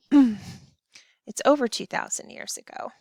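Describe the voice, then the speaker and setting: Female elementary teacher, recorded lesson for children, slow and simple directions, dry close recording. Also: sits high, vocal fry, a little mellow, breathy edge, dry recording. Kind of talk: recorded classroom lesson, step-by-step instruction.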